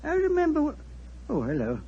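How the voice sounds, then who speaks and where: An actor playing a garrulous, cheeky older ship's doctor with a boisterous, gossipy manner in a 1940s radio drama. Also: male voice, very high voice, huge pitch swings, moderate pace, dark tone, exaggerated voice. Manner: boisterous, indiscreet, swooping delivery. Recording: muffled, hissy 1940s radio recording.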